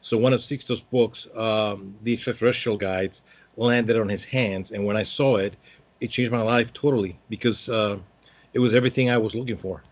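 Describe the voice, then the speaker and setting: A man's voice: lowish voice, steady tone, moderate pace. A man in his fifties from Peru, speaking as a guest in a casual interview and rambling with many hesitant fillers. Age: 50s.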